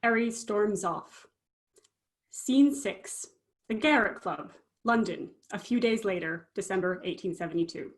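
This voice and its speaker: A woman's voice: mid-range voice, unhurried. A woman reading stage directions as scripted narration for a play.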